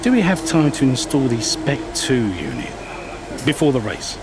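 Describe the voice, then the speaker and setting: A man's voice: mid-range voice, sing-song, moderate pace, very noisy, close to an everyday voice. A man voicing a breezy team boss chatting with his driver. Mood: jovial. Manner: jovial, breezy. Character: jovial middle-aged male team boss.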